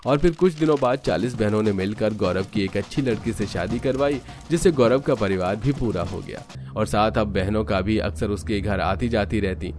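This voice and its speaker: A man's voice: on the low side, normal speed, few pauses, darkish tone, soft background music. A man giving scripted storytelling narration for a cartoon.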